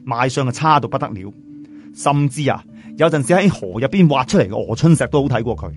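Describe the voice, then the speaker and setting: A man narrating a serial novel in a colloquial, chatty way, often putting questions to listeners. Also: mid-range voice. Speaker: audiobook narrator. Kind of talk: animated oral storytelling of a serial novel. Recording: music behind the voice.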